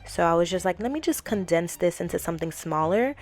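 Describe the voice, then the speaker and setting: Raspy hoarse young woman vlogger. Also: fairly low pitch, lively intonation, brisk, faint music behind. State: hoarse, lost voice. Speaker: beauty vlogger. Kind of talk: casual makeup tutorial chat.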